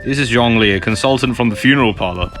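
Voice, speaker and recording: A man's voice: medium pitch, quick pace. A man giving casual commentary as host of a video game playthrough. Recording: game music under the voice.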